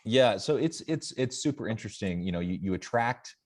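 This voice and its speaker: A man's voice: fairly low pitch, expressive, brisk, darkish tone. A man hosting a conversational podcast interview, thinking aloud at length as he frames his questions. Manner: wordy, thinks aloud while framing questions.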